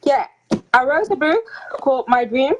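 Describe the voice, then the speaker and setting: A young woman from the Netherlands, a guest author speaking softly and hesitantly with frequent ums in a casual interview over a video call. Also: high-pitched, animated, moderate pace, slight background noise. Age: young adult. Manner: soft-spoken, hesitant with frequent ums and light laughs. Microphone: video call audio. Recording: slightly dull lo-fi call audio.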